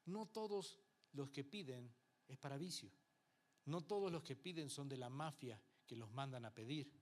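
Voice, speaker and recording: Uruguayan pastor preaching conversationally. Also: male voice, medium pitch, moderate pace. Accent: Uruguayan Spanish with some Mexican influence. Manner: conversational preaching with frequent rhetorical checks and acted-out quotes. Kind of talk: Bible class sermon to a congregation. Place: church.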